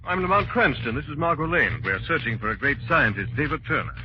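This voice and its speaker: An actor playing a confident, suave gentleman adventurer in polished, theatrical 1940s radio style. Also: mid-range voice, lively intonation, fast talker, close to an everyday voice. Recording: noisy, muffled 1940s radio recording.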